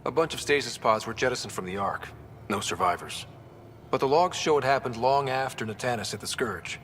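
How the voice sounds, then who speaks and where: Man playing young sci-fi explorer hero, steady, determined, mid-pitched, game cutscene, clear background ambience. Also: a little fast, slight background noise, lightly acted. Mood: serious. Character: young human space pathfinder, steady, determined leader. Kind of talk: video game cutscene acting.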